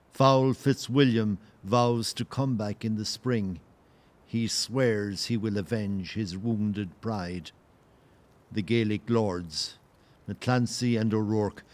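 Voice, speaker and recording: A man playing the solemn narrator of a historical audio play, with grave, measured dramatic delivery. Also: mid-range voice, lightly acted.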